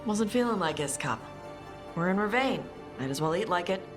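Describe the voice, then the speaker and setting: An actress playing a blunt, guarded young dragon hunter in a video game, speaking in terse, casual phrases. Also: female voice, lowish voice, lively intonation, moderate pace, natural voice. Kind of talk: video game cutscene acting. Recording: music behind the voice.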